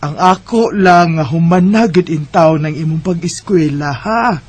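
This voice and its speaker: A man's voice: on the high side, a little slow, slightly rough. A man acting a caring, approving parent of a college student in a Filipino Bisaya radio drama. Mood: fond, advising.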